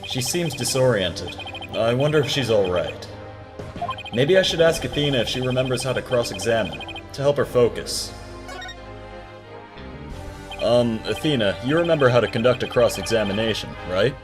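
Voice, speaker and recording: Guy acting as a calm lawyer mentor, kinda wry, medium pitch, game fandub w music under it. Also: brisk, natural voice. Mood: calm, reassuring. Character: calm, wry male defense attorney and mentor. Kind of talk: fan-dub game character acting.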